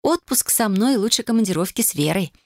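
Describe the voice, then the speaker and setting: A reader voicing a hurt, bitter young wife and mother in an audiobook, reproachful and sharp. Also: medium pitch, fairly even intonation, fast talker, no room sound, clean studio sound, pitched above the performer's own voice, close to an everyday voice. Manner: reproachful, sharp, rising in anger. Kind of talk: audiobook character voice.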